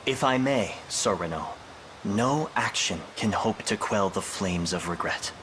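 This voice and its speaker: An actor playing a loyal, hopeful young knight in a fantasy video game, with courteous, formal archaic speech. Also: male voice, fairly low pitch, lively intonation, unhurried, background noise. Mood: earnest, encouraging.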